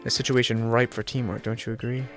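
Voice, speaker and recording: A man's voice: mid-range voice, little pitch movement, quick pace, faint music behind, close to an everyday voice. A sick, nasal man reading aloud the lines of a polite, formal male professor in a video game. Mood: earnest. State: sick, congested.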